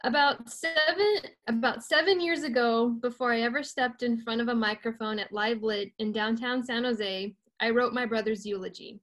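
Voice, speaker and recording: A woman reading her own poem over a video call, earnest and steady. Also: on the high side, moderate pace, few pauses, poor audio. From United States. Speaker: poet.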